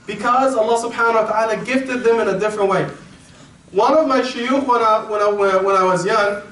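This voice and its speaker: Guy from egypt talking to kids, higher voice, sounds far off in a big echoey hall, little crowd murmur. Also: a little fast, fairly bright tone, slight background noise. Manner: conversational, repeats points, frequent uh fillers. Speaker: teacher giving a talk to students. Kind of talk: informal religious talk to children. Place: school hall with children. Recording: echoey hall, distant mic, faint crowd.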